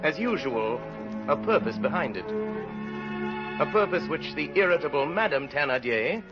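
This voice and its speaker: A man's voice: on the high side, expressive, moderate pace. A man narrating a classic radio drama in a dramatic scripted storytelling style. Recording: muffled vintage radio broadcast with faint music.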